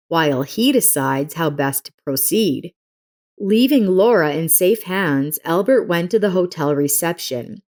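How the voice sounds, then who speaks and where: A woman's voice: deep voice, a little mellow. A woman narrator reading a scripted dramatic story.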